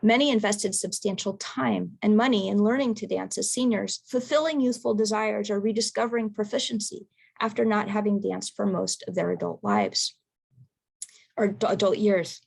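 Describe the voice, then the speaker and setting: A woman presenter reading a prepared research talk in a measured, careful way over a video call. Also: mid-range voice, vocal fry, a little mellow, husky. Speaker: academic presenter.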